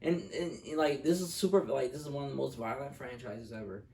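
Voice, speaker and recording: Gravelly man in an echoey room. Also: mid-range voice, brisk, dark tone, a little hiss or hum. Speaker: co-host of a movie review show. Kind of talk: casual chat, film review. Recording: echoey room, distant from the mic.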